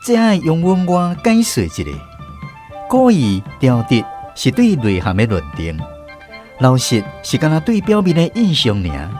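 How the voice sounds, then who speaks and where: Expressive male radio storyteller. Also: on the high side, normal speed, soft background music. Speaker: host and narrator. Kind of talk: radio drama narration.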